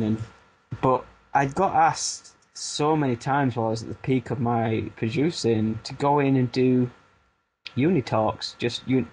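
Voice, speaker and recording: A man's voice: mid-range voice, darkish tone, rough recording. A man in his thirties with a thick Barnsley Yorkshire accent talks casually and self-deprecatingly as an interview guest. He circles and restarts his thoughts. A faint noise floor sits under his voice. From Barnsley, England. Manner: rambling, self-deprecating, frequent restarts and fillers. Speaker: music producer turned coach.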